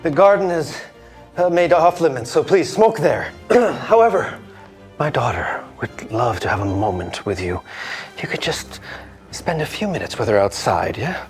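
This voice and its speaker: An actor playing a fawning middle-aged baron who talks in a hesitant, ingratiating way. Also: male voice, on the high side, lively intonation, a little slow, soft background music. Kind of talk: audio drama acting.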